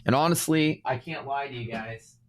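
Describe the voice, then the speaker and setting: A man's voice: mid-range voice, normal speed. A man hosting a live trading stream, chatting in an upbeat, neutral broadcaster manner. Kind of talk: casual live stream chat.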